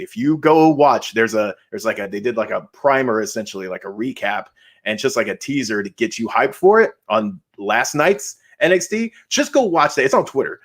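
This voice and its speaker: A man co-hosting a podcast, chatting casually like an enthusiastic fan, with emphatic delivery. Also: medium pitch. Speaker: podcast co-host.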